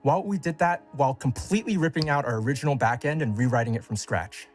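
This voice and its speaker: A man's voice: medium pitch, quick pace, faint music behind. A man narrating a scripted retrospective as a game developer, speaking in prepared, complete sentences.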